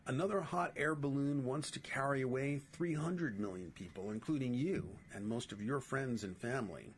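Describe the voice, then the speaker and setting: A man's voice: mid-range voice, moderate pace, slight background noise. A middle-aged man with a New York accent reading his own political essays aloud as a podcast host. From New York City.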